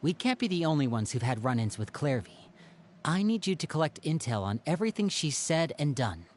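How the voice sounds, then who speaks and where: A man's voice: fairly high pitch, big pitch swings, brisk, slight background noise. A man voice acting a theatrical young magician and protective elder brother in a video game, speaking in a coaxing way. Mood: decisive.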